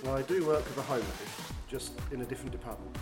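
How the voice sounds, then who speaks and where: A man's voice: medium pitch, fast, close to an everyday voice. A man playing a polite, understated, evasive government agent in an audio drama. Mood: smooth, cagey. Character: suave, cagey male government agent, courteous. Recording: echoey party room with loud music.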